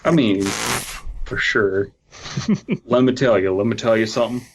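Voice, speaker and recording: A man's voice: medium pitch, expressive, unhurried, husky, rough recording. A man co-hosting a comedy podcast who reads a story aloud and keeps breaking into giggles during casual banter. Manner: giggly, breaks into laughter while reading. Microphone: online call mic.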